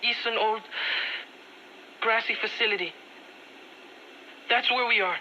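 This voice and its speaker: A dull, static-laden radio message carries a young captive in a video game. The voice is frightened and pleads for rescue. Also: female voice, on the high side, unhurried, bright, forceful tone. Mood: scared, pleading. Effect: recorded message over radio or tape. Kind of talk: dramatic video game dialogue. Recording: dull, very noisy, clicking radio recording.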